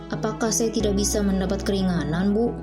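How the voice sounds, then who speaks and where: A woman performing a pleading high school student in an animated visual novel. Her voice is medium in pitch and dismayed. Music plays clearly behind her. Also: a little fast, lightly acted. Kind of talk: acted visual novel drama.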